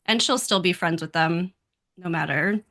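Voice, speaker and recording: Bright-voiced woman chatting casually. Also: on the low side, moderate pace. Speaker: podcast guest. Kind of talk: casual discussion.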